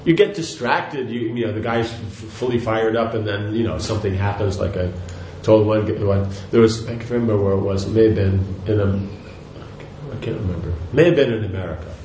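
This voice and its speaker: A man's voice: on the low side, normal speed, few pauses, warm tone, lo-fi sound. Rambling older man giving a spiritual talk. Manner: rambling, conversational, frequent "you know" and restarts. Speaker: spiritual teacher. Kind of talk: devotional lecture. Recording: echoey room with faint noise.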